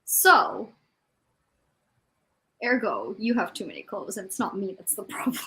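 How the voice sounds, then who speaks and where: A woman's voice: medium pitch, big pitch swings, measured pace, bright, forceful tone, slight background noise. A woman in casual chat, griping in an exasperated, self-mocking way. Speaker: participant in a group reading livestream.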